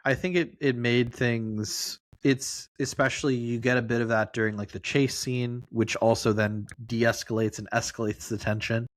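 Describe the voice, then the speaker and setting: A man's voice: mid-range voice, steady tone, normal speed, hardly any pauses, no room sound. A man co-hosting a film podcast, talking casually and circling his way through his explanations.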